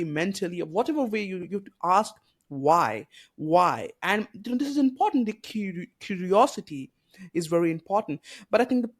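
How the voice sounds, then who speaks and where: A podcast host speaking earnestly and with strong opinions, leaning on "you know" and rhetorical questions in a casual conversation. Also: medium pitch.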